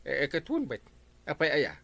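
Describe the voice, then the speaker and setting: A bossy village man with an Ilocano accent and a fairly high, bright voice. His pitch swings widely as he blusters and complains in radio comedy style. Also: huge pitch swings, normal speed, vocal fry, exaggerated voice. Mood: defensive. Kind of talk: broadcast radio comedy drama acting.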